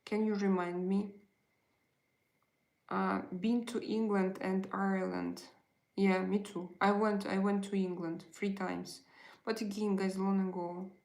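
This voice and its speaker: Slow, gravelly woman with Russian accent. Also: medium pitch, little pitch movement, a little mellow, echoey room. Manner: chatty, hesitant, greets and answers chat messages. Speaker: livestream host. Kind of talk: casual livestream chat with viewers.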